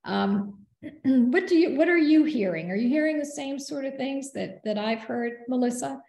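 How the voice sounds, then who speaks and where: A woman in a conversational interview, losing her voice, earnest and measured, who clears her throat often. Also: sits high, animated, dark tone, echoey room. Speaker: guest.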